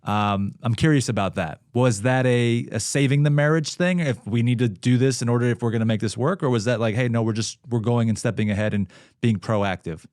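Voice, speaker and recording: A man hosting an interview. He asks long, reflective questions and pauses with fillers. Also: medium pitch, darkish tone, dry recording, clean studio sound. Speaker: podcast host. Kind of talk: casual interview.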